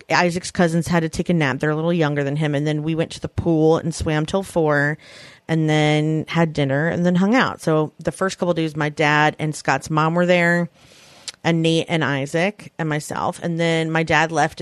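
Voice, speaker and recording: A woman's voice: deep voice, no room sound, clean studio sound. A woman in her thirties chatting casually as a podcast co-host, telling rambling stories with lots of asides. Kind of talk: casual chat.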